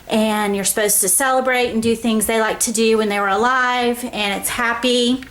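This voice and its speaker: A woman hosting her own craft show, walking viewers through a project step by step in a casual, chatty way and often checking in with a quick "okay?". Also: on the high side, fairly even intonation, fairly bright tone.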